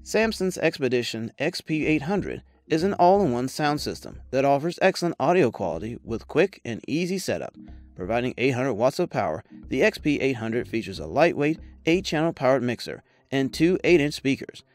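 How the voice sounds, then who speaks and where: Guy doing a scripted review voiceover, medium pitch, lively intonation, salesy polished read. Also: normal speed, no room sound. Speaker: product review narrator. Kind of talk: scripted voice-over narration. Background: background music.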